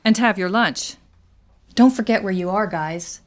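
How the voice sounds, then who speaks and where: A woman's voice: medium pitch, measured pace, lightly acted. A woman acting in community radio theatre as a focused, wry friend who herds her distracted companions.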